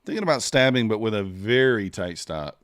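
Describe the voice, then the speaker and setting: A man's voice: on the low side, lively intonation, brisk, fairly bright tone, no room sound, studio quality. A man streaming live, chatting casually and thinking aloud with many fillers as he trades. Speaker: streamer trading futures live.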